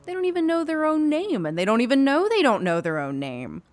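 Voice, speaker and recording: A woman's voice: high-pitched, huge pitch swings, brisk. A woman playing a fretful, kindly, inept witch in an audio drama, fussing and coaxing protectively. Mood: worried, coaxing. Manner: fussy, exclamatory, coaxing.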